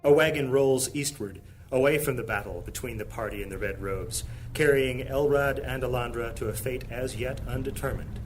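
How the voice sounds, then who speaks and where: Male narrator, medium pitch, dramatic scripted fantasy storytelling with music underneath. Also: normal speed, hardly any pauses, low-quality recording.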